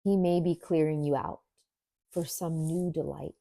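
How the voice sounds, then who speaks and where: A woman teaching yoga nidra, leading a guided meditation in a gentle, soothing manner with deliberate pauses. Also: low voice, fairly even intonation, creaky, no echo, clean studio sound.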